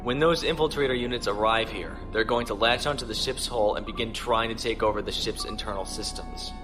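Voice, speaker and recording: An actor playing a calm, commanding starship captain in a measured, authoritative way for an audio drama. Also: male voice, lowish voice, music in the background, close to an everyday voice. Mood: briskly planning.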